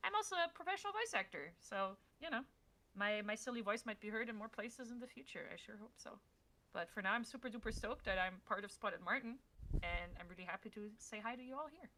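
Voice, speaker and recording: A woman's voice: on the high side, animated, fast, pushed and bright, slight background noise, rough recording. A woman chatting casually on a livestream with bubbly enthusiasm, like a guest introducing herself. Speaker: voice actor and streamer.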